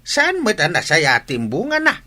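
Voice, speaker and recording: A man's voice: high-pitched, wildly swooping pitch, normal speed, noisy background. An actor playing a blunt, scolding old grandfather from the countryside in a radio drama. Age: older. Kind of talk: regional radio drama acting.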